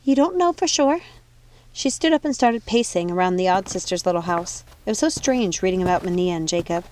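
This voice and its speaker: A woman's voice: lowish voice, lively intonation, a little fast, darkish tone. A woman reading an audiobook as narrator, in a dramatic scripted storytelling style. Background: music.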